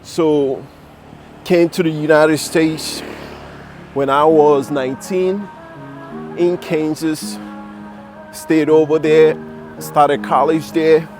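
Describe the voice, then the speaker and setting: Slow-talking Nigerian man vlogging outdoors. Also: a little high, fairly even intonation, music in the background. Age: 30s. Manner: earnest, repeats phrases for emphasis. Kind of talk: walking personal vlog to camera. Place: city street outdoors. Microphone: handheld self-filming camera.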